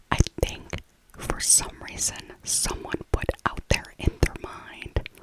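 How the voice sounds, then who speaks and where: A middle-aged woman whispering a casual, rambling ASMR shopping voiceover, softly repeating her phrases. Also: rough recording. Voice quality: soft unvoiced whisper. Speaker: ASMR vlogger.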